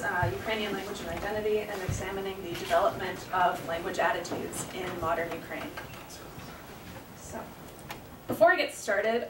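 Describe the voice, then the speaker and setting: Young woman presenting research, earnest, lots of ums; echoey room, distant mic, background noise. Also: medium pitch. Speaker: guest speaker, graduate student. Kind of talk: academic talk. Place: lecture room.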